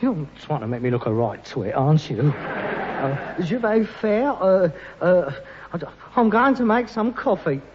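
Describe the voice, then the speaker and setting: An actor playing a put-upon, pretentious London son, hesitant, stammering and easily indignant, in British sitcom style. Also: male voice, sits high, very animated, a little slow. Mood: flustered, indignant. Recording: muffled, noisy archive recording, echoey hall.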